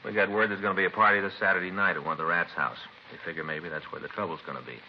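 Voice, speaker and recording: A businesslike American detective in a 1950s radio police show. He talks in short casual bursts in a very deep voice, at a brisk clip. The sound is dull and vintage, with light noise. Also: steady tone. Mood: grave, informative. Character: plainspoken, businesslike male police detective partner. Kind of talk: 1950s radio crime drama dialogue. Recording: muffled, faintly noisy old radio recording.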